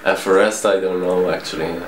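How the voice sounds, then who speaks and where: A man, answering interview questions with many pauses. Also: low voice, fairly even intonation. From Tilburg, Netherlands. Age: 20s. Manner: hesitant, full of pauses. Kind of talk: casual street interview answers. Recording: echoey, noisy street spot.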